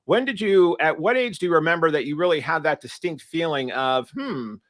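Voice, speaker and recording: A man's voice: a little high, expressive, fairly quick, fairly bright tone, dry recording, clean studio sound. A man hosting a business podcast, asking long, winding questions with fillers and restarts. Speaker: podcast host.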